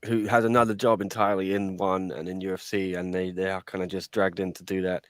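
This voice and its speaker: A man hosting a podcast, relaxed and conversational as he reads out listener comments. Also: low-pitched, fast, no room sound, studio quality. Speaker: podcast host. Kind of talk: casual chat, reading listener comments.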